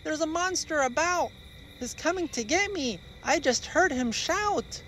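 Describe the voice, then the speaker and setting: A small, timid baby bear from a children's storybook, acted out by a man. The voice sits high and whimpers earnestly, with faint noise behind it. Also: expressive, unhurried, a bit bright, exaggerated voice, rough recording. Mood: frightened.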